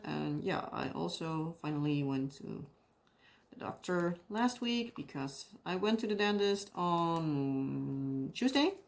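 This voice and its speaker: A young Dutch woman talks unhurriedly in a somewhat low voice, rambling through a casual chat. She often stops to hunt for the right English word. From Netherlands. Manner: rambling, searches for English words, laughs often. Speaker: craft vlogger. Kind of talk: casual chat while crafting.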